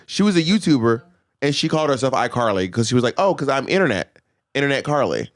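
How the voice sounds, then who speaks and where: A man in his thirties co-hosting a casual podcast, animated and laughing often, on a handheld mic in a studio. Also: mid-range voice. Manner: animated, laughing, quick asides. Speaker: co-host. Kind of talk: casual chat. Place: pink studio set, indoors.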